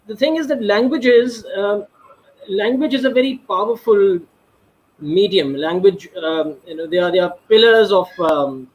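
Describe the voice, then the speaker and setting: A man's voice: high-pitched, poor audio. A man answering as a panel guest, ornate and hesitant, with many restarts and fillers.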